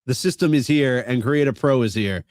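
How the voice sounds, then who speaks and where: A man co-hosting a podcast, nasal and stuffy from a cold. His pitch sits mid-range and he speaks with emphatic enthusiasm, recorded dry and close. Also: moderate pace, a little mellow, no echo. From Long Island, New York. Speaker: podcast co-host. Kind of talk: casual wrestling podcast chat.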